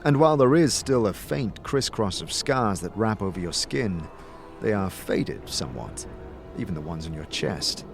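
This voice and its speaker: Male narrator, lowish voice, highly animated, theatrical storytelling, scripted fantasy, prominent music behind. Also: moderate pace, gravelly. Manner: theatrical, expressive. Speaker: narrator and game master voice. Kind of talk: dramatic second-person scripted storytelling.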